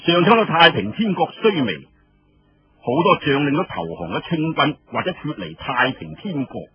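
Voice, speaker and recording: A man's voice: on the high side, big pitch swings, moderate pace, husky. A man telling a history story in colloquial Cantonese, narrating dramatically in the manner of a traditional storyteller. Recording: muffled lo-fi roomy radio-like recording.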